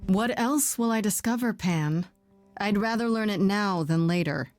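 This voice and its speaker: An actress playing a young heroine in a video game, blunt and probing, talking in a direct, conversational way. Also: female voice, mid-range voice, measured pace, darkish tone, faint music behind, lightly acted. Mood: accusing. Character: young woman Grace, blunt, probing, guarded newcomer heroine. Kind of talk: video game dialogue acting.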